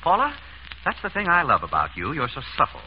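Suave deadpan doctor in old radio play, lively up and down delivery, muffled noisy recording. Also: male voice, on the high side, fast talker. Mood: fond exasperation. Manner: urbane, wry, deadpan. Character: suave, dryly witty male doctor, exasperated ex-husband. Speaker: radio actor. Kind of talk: 1930s radio comedy-mystery acting.

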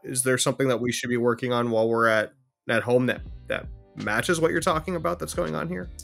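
A man's voice: mid-range voice, fairly quick, creaky, a bit bright, soft background music. A man playing a cooperative, laid-back father in a casual role-played conversation, speaking informally and agreeably. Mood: agreeable, curious.

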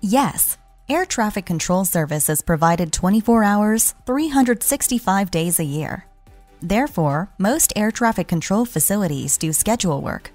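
A woman reading scripted explainer narration in a measured, instructional way. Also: mid-range voice, animated, a little mellow, dry recording. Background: background music.

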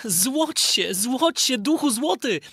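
A man doing young Aladyn, high and eager. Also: lively intonation, slow, pushed and bright, slightly rough, no echo, studio quality, full character voice. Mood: pleading. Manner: eager, high and urgent calling. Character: young male hero, bold boy in a fairy tale.

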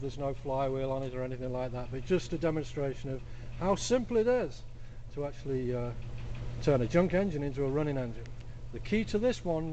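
A man casually explaining a workshop build in a relaxed, plain-spoken way, full of "uh" and "basically", filmed up close on a camera mic at a cluttered bench. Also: medium pitch, a little hiss or hum. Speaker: hobby engineer demonstrating a build.

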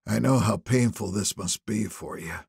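A man's voice: fairly low pitch, moderate pace, vocal fry, darkish tone, breathy edge, dry recording, studio quality, heavily acted. A man voicing an anxious, deferential confidant of a boss, speaking carefully and hesitantly in an audiobook. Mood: guarded. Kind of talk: audiobook character dialogue.

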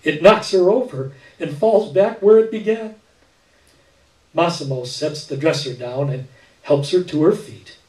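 An older man reading a literary short story aloud at a live event, with measured, expressive narration. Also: fairly high pitch. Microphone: stand mic with speakers, recorded by far camera. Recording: echoey room, distant camera pickup.

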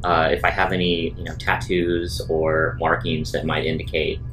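A man's voice: sits low, monotone, normal speed, fairly bright tone, slight background noise, low-quality recording. A man improvising in character as a player in a tabletop roleplaying game.

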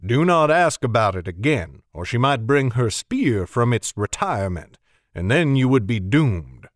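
A man's voice: mid-range voice, very animated, measured pace, dry recording, clean studio sound. A man voicing a wry older bowyer who speaks with a smirking drawl. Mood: grave.

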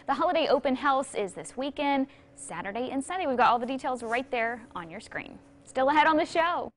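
A young woman hosting a TV show, presenting in a polished, upbeat way from a studio. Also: a little high. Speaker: TV show host. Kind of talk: scripted TV presenting.

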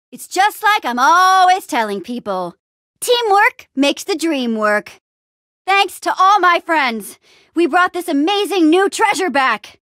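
A woman playing a plucky, bossy but encouraging young adventurer heroine in a video game, with an expressive, casual, peppy delivery. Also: very high voice, no echo, studio quality, full character voice. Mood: proud, rousing. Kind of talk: animated adventure game dialogue.

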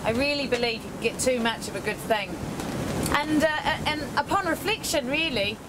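A woman's voice: sits high, normal speed. New woman on a windy deck. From New Zealand. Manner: thoughtful, with frequent ums and restarts. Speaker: sailing vlogger. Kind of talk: reflective talk to camera. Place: open deck of a sailing boat at sea. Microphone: camera held close. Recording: loud wind and sea noise.

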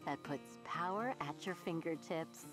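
An actress playing a confident announcer in a polished futuristic commercial voice-over. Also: female voice, deep voice, a little slow. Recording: music behind the voice.

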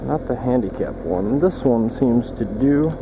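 A man's voice: mid-range voice, lively intonation, measured pace. A man with an American accent giving casual, rambling, joking commentary while riding a motorcycle. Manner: joking, irreverent, self-deprecating. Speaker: motovlogger. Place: outdoors riding a motorcycle in city traffic. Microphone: external mic worn while riding. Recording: loud engine and wind noise. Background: motorcycle engine, traffic and wind.

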